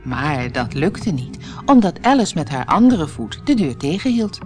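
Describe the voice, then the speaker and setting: A woman's voice: deep voice, big pitch swings, measured pace, darkish tone, faint music behind. A woman narrating a children's fairy tale as an audiobook, with dramatic, carefully phrased storytelling.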